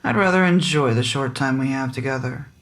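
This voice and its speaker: An actress playing a wry, tired small-town sheriff who teases in a dry, unhurried way, in an audio drama. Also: female voice, extremely low pitch. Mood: wry, teasing.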